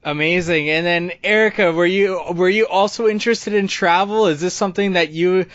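Upbeat high-voiced male podcast host. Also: moderate pace, a bit bright, no room sound, muffled recording. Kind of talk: scripted intro then interview questions.

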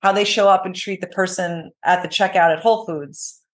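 A woman with a raspy voice, talking casually and eagerly about teaching yoga on a podcast. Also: on the low side, fairly even intonation, a little fast. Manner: enthusiastic, many "like" fillers.

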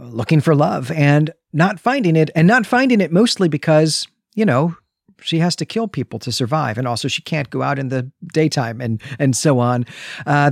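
A man's voice: mid-range voice, creaky, dry recording, clean studio sound. A man co-hosting a podcast, giving an enthusiastic, opinionated review in a conversational way. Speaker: podcast co-host.

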